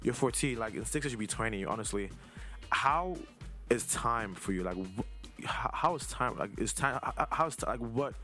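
A man's voice: medium pitch, lively intonation, moderate pace, pushed and bright, faint music behind. A man in his twenties from Nigeria, hosting a casual interview in an informal, rambling way that circles around his questions. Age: 20s. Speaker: host interviewing a younger relative.

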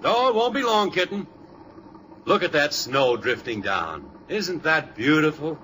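A noisy vintage radio recording of a middle-aged American dad. His pitch is fairly high and swings freely as he reassures everyone with stubborn cheer, in sitcom style. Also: sing-song, unhurried, bright, forceful tone, heavily acted. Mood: coaxing. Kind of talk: old-time radio comedy acting.

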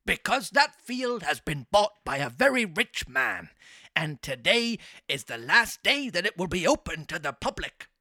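Reader acting a con-artist fox, very animated pitch, bright tone, theatrical, persuasive, falsely sympathetic, storybook. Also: unhurried, no room sound, clean studio sound, exaggerated voice. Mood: coaxing. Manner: theatrical, persuasive, mock-gentlemanly. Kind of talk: storybook character reading.

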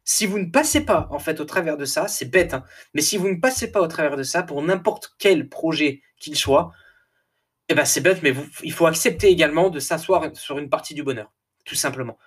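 Chatty man, lively podcast talk. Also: a little high, a little fast, slightly rough. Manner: animated, laughs often, repeats and restarts words. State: a little tired. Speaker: podcast host. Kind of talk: casual motivational podcast monologue.

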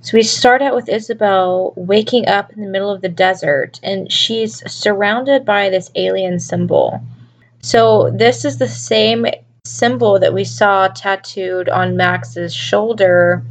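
A woman's voice: medium pitch, a little slow. A woman hosting a solo review podcast, talking casually while sick and losing her voice, with a scratchy voice and musing, self-questioning delivery. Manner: musing, asks listeners questions, many restarts. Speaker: podcast host reviewing a TV episode.

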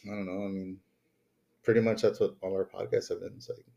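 A man's voice: sits low, flat delivery, quick pace, vocal fry, mellow, lo-fi sound. A man co-hosting a podcast in casual banter, talking in a profane, slangy way. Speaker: podcast co-host.

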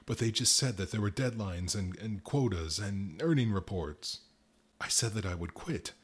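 A man's voice: fairly low pitch, husky. A man doing a choked, tearful factory boss. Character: frightened guilt-ridden older male factory boss.

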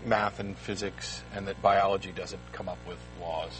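A man's voice: deep voice, level tone, normal speed, fairly bright tone, soft background music, low-quality recording. Tired man co-hosting, direct and wry. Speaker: co-host of call-in show. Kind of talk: casual talk-show discussion. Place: studio.

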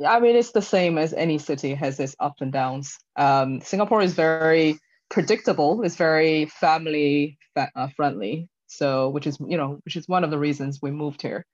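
A woman's voice: deep voice, moderate pace, a little mellow, dull audio. A woman in her thirties from China, answering interview questions concisely with some hesitant fillers. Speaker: interview guest, tech leader.

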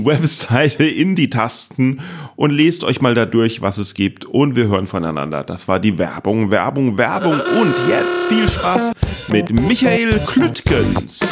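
A man hosting a comedy podcast in casual chat, playful, repeating words for comic effect. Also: mid-range voice. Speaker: podcast host.

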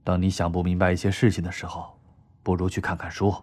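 A man's voice: deep voice, monotone, a little mellow. A man as a level-voiced, patient uncle. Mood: patient, firm. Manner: measured, advising, unhurried. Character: calm, patient, authoritative uncle and company boss. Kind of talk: modern audio drama acting.

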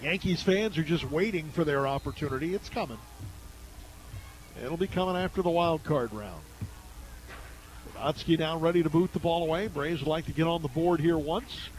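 A man calling high school football play-by-play for local radio, in a brisk, conversational way. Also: rough recording. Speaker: play-by-play announcer.

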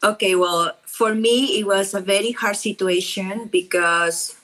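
A woman from Peru with a strong Peruvian Spanish accent, a podcast guest speaking from home, halting and searching for words. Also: mid-range voice, fairly even intonation, fairly bright tone, rough recording. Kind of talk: interview conversation.

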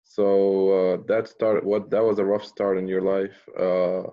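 A man's voice: low voice, flat delivery, a little slow, dark tone. A man hosting a casual podcast interview, asking blunt questions and swearing now and then. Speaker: podcast host. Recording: dull lo-fi sound.

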